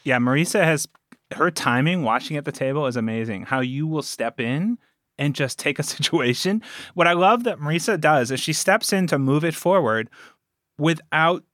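A man speaking as a podcast guest in casual conversation, telling stories in an animated, emphatic way about his work as a game master. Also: fairly high pitch, moderate pace, no echo, studio quality.

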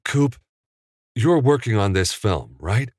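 A man voicing an eager, excited young man who is awed by old Hollywood. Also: on the low side, takes it slowly, no echo, clean studio sound, voice pushed up. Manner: eager, excited, breathless with wonder. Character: young man, eager and awestruck movie fan.

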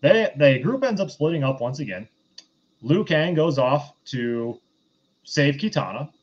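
Halting, rambling man, slightly dull mic. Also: a little high, animated. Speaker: podcast host reviewing films. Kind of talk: casual film recap chat.

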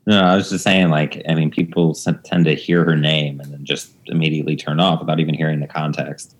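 A man's voice: a bass voice, little pitch movement, fairly quick. A man who does stand-up, speaking hesitantly with many fillers in casual podcast talk. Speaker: stand-up comedian.

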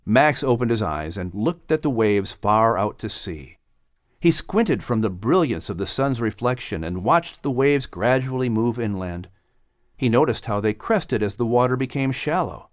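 A man narrating a children's audiobook in an expressive, scripted storytelling style. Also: medium pitch, normal speed, dry recording, clean studio sound.

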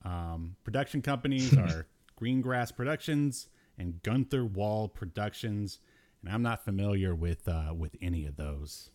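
A man's voice: on the low side, animated, a little slow, a little mellow. A man hosting a podcast in casual chat, riffing jokily and with exasperation. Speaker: podcast host. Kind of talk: casual chat about old cartoons.

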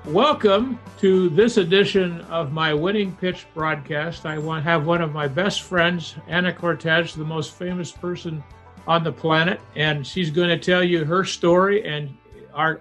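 A man's voice: on the high side, steady tone, moderate pace, a bit bright, faint music behind. A man hosting a business podcast, introducing his guest in a brash, direct way. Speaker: host.

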